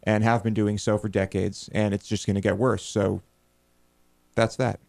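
A young American man co-hosts a political talk show, speaking casually into a close studio microphone. His voice sits a little low. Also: level tone, normal speed, vocal fry, a little mellow. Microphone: close studio microphone on boom arm, headphones.

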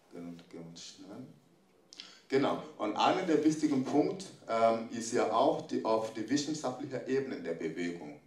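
A middle-aged man speaking at a panel, not a native German speaker, halting with many fillers and restarts, holding a handheld mic. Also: lowish voice, darkish tone, distant mic. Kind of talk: panel talk. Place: large echoey conference room. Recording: echoey room, mic held off.

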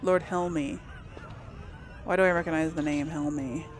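A woman's voice: deep voice, fairly even intonation, moderate pace, a little hiss or hum. A woman streaming a role-playing game, thinking aloud in casual, chatty commentary. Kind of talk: casual let's play commentary.

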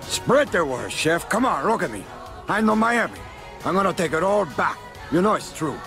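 A brash Cuban gangster kingpin for a video game. His voice sits a little high and swings widely, full of swagger and profanity, in a Cuban accent. Music plays behind him. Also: big pitch swings, normal speed. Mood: defiant, angry. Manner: cocky, profane, domineering. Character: brash, hot-tempered Cuban gangster kingpin, adult man. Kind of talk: video game cutscene acting.

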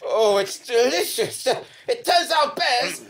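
A man's voice: very high-pitched, lively intonation, slow pace, fairly bright tone, heavily acted. A man on a small theatre stage playing a bumbling, nervous hero in a comic audio drama, stammering and flustered. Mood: self-narrating. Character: bumbling, nervous hero posing as a monster bear. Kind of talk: live comedy audio drama performance. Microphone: microphone on a stand.

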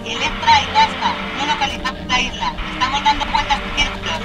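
An actress voices a daring woman aviator in an audio drama. She is high-pitched, excitable and urgent, with clear music behind her. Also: fairly quick, close to an everyday voice, poor audio. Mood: tense.

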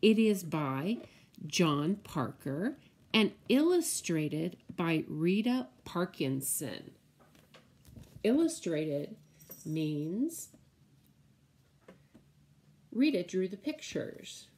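A middle-aged woman, a preschool teacher, reading a picture book aloud to small children and explaining things simply in a deliberate, drawn-out way. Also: fairly low pitch, big pitch swings, a little mellow. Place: indoors, room at home with window blinds.

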